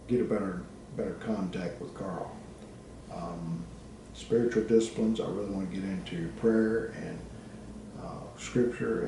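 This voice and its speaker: Low-voiced middle-aged man in an echoey room. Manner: halting, pausing between short phrases. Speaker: college student giving a personal presentation. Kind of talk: personal reflective presentation. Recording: very echoey, distant microphone, background noise.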